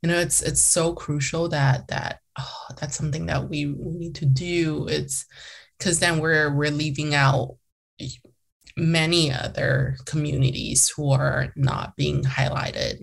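Low husky young woman, chatty. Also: little pitch movement, takes it slowly. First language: Mandarin. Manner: chatty, many restarts and "like" fillers. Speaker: podcast guest, former mayor. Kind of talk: casual interview conversation.

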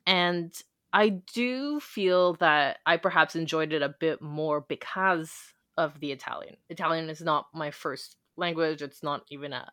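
A woman's voice: low-pitched, fairly bright tone, no room sound, studio quality. A woman co-hosting a book podcast, talking in a thoughtful, reflective way with frequent fillers. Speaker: podcast co-host. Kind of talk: casual book discussion.

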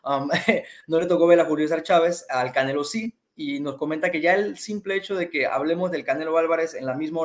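Male co-host from Mexico, bilingual, fast, slightly high, halting with fillers. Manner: hesitant, many fillers and restarts. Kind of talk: bilingual interview, translating.

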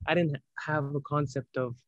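A young man with a fairly high-pitched voice talks through a dry, dull, lo-fi recording. He is a startup founder being interviewed, reflective and unhurried. He often restarts his sentences as he thinks. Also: fairly even intonation, no echo. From Spain. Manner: hesitant, restarts phrases, reflective. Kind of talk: casual interview talk. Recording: dull lo-fi sound.

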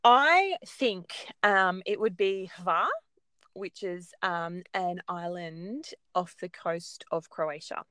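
A woman's voice: fairly low pitch, takes it slowly, smooth, dry recording. Chatty Australian woman, dry sound. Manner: chatty, animated storytelling with frequent ums. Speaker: guest. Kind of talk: casual chat.

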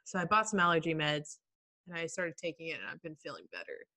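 A woman co-hosting a podcast, chatting in a relaxed way with a stuffy nose from allergies. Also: low-pitched, fast. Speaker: podcast co-host. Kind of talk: casual chat.

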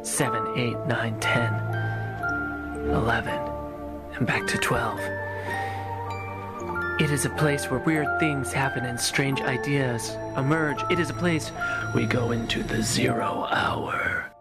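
A performer voicing a solemn, eerie anthology host in a mock suspense radio introduction, slow and portentous. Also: mid-range voice, over loud music, pitched below the performer's own voice. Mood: portentous, mysterious.

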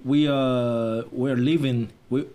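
A young man talks in English with a Chinese accent, in an everyday middle-pitched voice. He tells his stories in a relaxed, chatty way, often stopping and restarting as he hunts for the right word. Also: slow pace, slight background noise. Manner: hesitant, frequent restarts while searching for words. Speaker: guest. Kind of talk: casual conversation.

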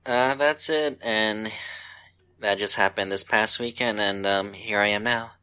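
Male podcast co-host from the US East Coast, low and smooth, chatting informally. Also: measured pace, sharp tone. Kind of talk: casual chat.

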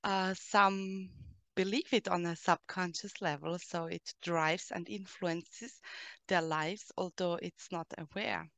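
A woman from Austria with an Austrian German accent, answering interview questions slowly and hesitantly, with frequent fillers, explaining her coaching work. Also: fairly low pitch, fairly even intonation, creaky, a bit bright, dry recording. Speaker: guest, coach who helps children and adults.

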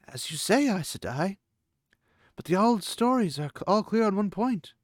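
A man's voice: high voice, sing-song, normal speed, dry recording, studio quality, pitched above the performer's own voice. A man voicing a proud, formal young female desert warrior with solemn, stiffly formal speech. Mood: earnest.

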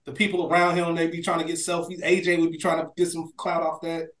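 Higher-voiced man in an echoey room. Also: monotone, fast, a bit bright. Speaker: podcast co-host. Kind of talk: casual analytical chat.

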